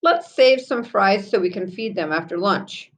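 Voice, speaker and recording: A woman's voice: medium pitch, expressive, moderate pace, natural voice, poor audio. A woman voicing a cheerful, dreamy storybook mother with lilting delight.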